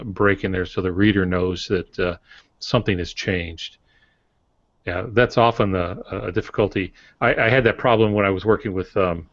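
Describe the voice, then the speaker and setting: A man co-hosting a writing podcast, speaking in a relaxed, thoughtful way with frequent pauses and fillers. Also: low-pitched, dry recording. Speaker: podcast co-host, author. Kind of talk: casual podcast chat. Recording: dull lo-fi audio.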